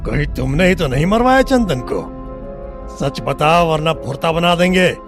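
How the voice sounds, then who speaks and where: An actor playing an enraged, abusive older husband, suspicious and crude, speaking with a rustic eastern Hindi heartland accent in an audio drama. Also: male voice, a little high, expressive, normal speed, over music, natural voice. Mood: furious, threatening. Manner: rustic, menacing, colloquial.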